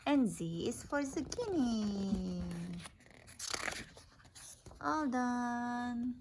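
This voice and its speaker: Woman, mother reading a children's book, animated pitch, unhurried, instructive, casual asides, noisy home recording. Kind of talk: casual read-aloud, naming words slowly letter by letter.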